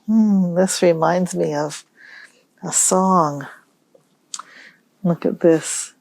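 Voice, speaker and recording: A woman hosting a storytime for young children, reading aloud in a gentle, musing way and pausing to pose questions. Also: fairly low pitch, fairly even intonation, creaky, no room sound. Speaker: children's library storytime host.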